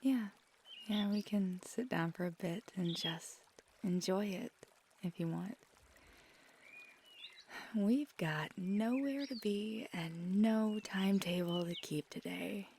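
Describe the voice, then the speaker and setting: A woman acts as a feisty young magical girlfriend in an intimate ASMR roleplay. She speaks slowly and casually, affectionate and teasing, often sinking into a breathy murmur. Birdsong and outdoor noise sit clearly behind her voice. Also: medium pitch, darkish tone. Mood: soft, grateful. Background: birdsong and outdoor ambience.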